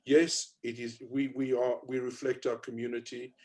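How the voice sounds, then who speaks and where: Mellow-voiced South African man. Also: mid-range voice, level tone, moderate pace. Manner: reflective, hesitant with many fillers. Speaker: panel speaker. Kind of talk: panel discussion.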